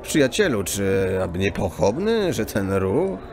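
A man playing a sly, composed hooded card sharp in an audio drama, mock-courteous with quirky archaic phrasing. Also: lowish voice, very animated, measured pace, background noise. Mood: smugly polite. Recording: tavern crowd murmur behind.

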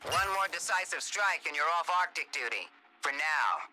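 Game military commander: highish pitch, very sharp forceful tone, clipped orders, faint background noise. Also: male voice, expressive, normal speed, poor audio. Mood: stern, businesslike. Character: brisk, bossy male military squad commander. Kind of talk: scripted video game dialogue.

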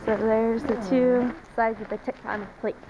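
A woman's voice: fairly high pitch, flat delivery, moderate pace. A young woman vlogging on a rocky trail, chatting to a camera held at arm's length. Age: young adult. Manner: chatty, talking to a handheld camera while walking. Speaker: travel vlogger. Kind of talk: casual travel vlog. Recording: muffled outdoor camera audio with wind noise.

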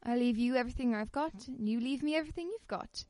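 Woman playing a high-voiced, inquisitive wife. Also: fast, a little mellow, no room sound, lightly acted. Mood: insistent. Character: inquisitive, persistent wife, suspicious yet affectionate. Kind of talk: radio play acting.

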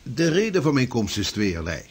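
A hearty, canny old-world clergyman of the sea, in a radio play. His husky voice swings widely in pitch as he delivers pompous, antique phrases. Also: big pitch swings, moderate pace. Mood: genial, curious. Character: hearty, canny male Flemish minister and seafarer. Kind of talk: period radio drama acting.

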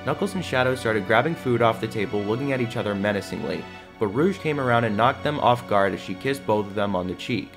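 A man's voice: on the low side, expressive, a little fast, few pauses. A man reading scripted third-person narration for a fan audio drama. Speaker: narrator and lead actor. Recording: music under the voice.

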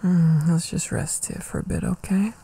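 A man doing an intimate boyfriend ASMR roleplay, affectionate and soothing, with a soft breathy voice that often drops to a whisper, close on the mic. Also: fairly high pitch, fairly even intonation, normal speed. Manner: affectionate, soothing, murmuring with hums. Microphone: close microphone.

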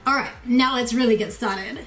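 Australian woman, thirties, chatty vlog talk, slightly high voice, soft music underneath, bedroom camera recording. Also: moderate pace. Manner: upbeat, chatty, practical. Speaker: home lifestyle vlogger. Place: small bedroom by a wardrobe, indoors.

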